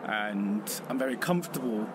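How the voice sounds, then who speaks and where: A man's voice: on the low side, expressive. Thoughtful man on a noisy street. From Vietnam. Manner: thoughtful, pausing, self-correcting. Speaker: exhibition contributor. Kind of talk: reflective personal testimony. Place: outdoors on a city street.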